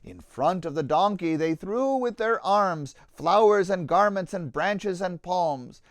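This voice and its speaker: A man with a high voice reads aloud for children as a pastor would at storytime. His delivery is theatrical and rhythmic, with very lively intonation. The sound is dry and free of room echo. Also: measured pace. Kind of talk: expressive children's storybook reading.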